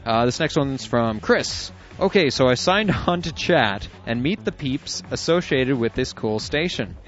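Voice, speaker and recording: A man's voice: medium pitch, lively intonation, unhurried. A man co-hosting an internet radio show, reading listener emails aloud with chuckles and asides in casual chat. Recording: dull lo-fi audio with faint music.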